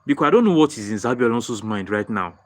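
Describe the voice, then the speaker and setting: A man's voice: medium pitch, racing. A young Nigerian man with a Nigerian accent hosting a live football fan stream, answering viewer comments and repeating his points with many restarts. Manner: excitable, repeats phrases, frequent restarts. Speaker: football livestream host.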